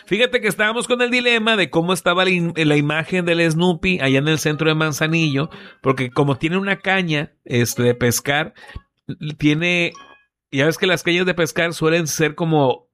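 A man's voice: on the high side, normal speed, a bit bright. A man with a Mexican accent, hosting a morning radio show with casual, chatty banter. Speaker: radio host.

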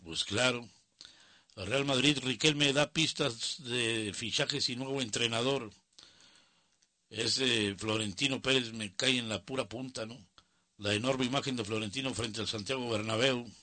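A Mexican man hosts a sports radio show in a sharp, bright voice. He talks casually and gives his opinions in colloquial, grumbling asides. The sound is close and very dry, as in a radio studio. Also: medium pitch, fairly even intonation, measured pace, dry recording.

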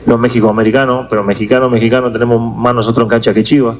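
A man speaking as a soccer coach at a press conference, halting and repeating words for emphasis. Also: medium pitch, little pitch movement.

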